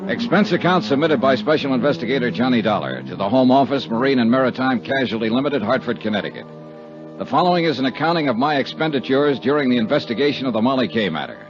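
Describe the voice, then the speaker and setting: A man's voice: medium pitch, lively intonation, fast, over music, natural voice. An American man playing a wry, wisecracking insurance investigator who narrates his own case in 1950s radio drama style. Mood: crisp narrating. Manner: wisecracking, colorful storytelling. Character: wry, breezy freelance male insurance investigator. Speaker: lead actor and first-person narrator. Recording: dull vintage 1950s radio recording.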